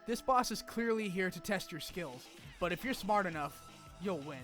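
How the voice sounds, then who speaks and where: A man with a slightly high voice delivers a scripted game retrospective over a soft music bed. He keeps it informal and opinionated, dropping casual asides and swearing freely. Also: expressive, moderate pace. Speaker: video essayist. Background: background music.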